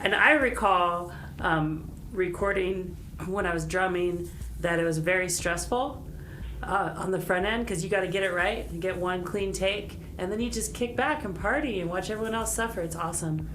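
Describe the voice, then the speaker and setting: A woman's voice: fairly low pitch, moderate pace, a bit bright, a little hiss or hum, low-quality recording. A woman musician chatting casually as an interview guest, with a wry, self-deprecating manner. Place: radio studio.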